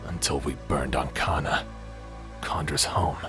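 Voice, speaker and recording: A man playing a guilt-ridden, grieving ex space pirate in an audio drama, speaking haltingly with heavy pauses. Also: rumbling low, steady tone, music in the background. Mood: haunted, remorseful. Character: grief-stricken, guilt-ridden male ex space pirate brother. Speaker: voice actor in an audio roleplay.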